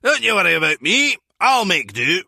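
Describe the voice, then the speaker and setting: An actor playing a burly, proud Scottish villain in a video game, blustering and teasing in a gravelly, raspy voice. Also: male voice, sits high, sing-song, normal speed, bright, forceful tone, no echo, studio quality, exaggerated voice. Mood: grudging. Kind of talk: video game cutscene acting.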